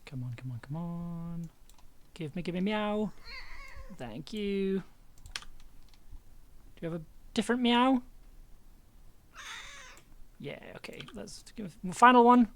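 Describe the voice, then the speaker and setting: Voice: medium pitch, animated, slow, pauses often, a little hiss or hum. A livestreamer chatting casually and thinking aloud to themself while working as an audio editor. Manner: thinking aloud, muttering to self. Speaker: streamer, audio drama editor.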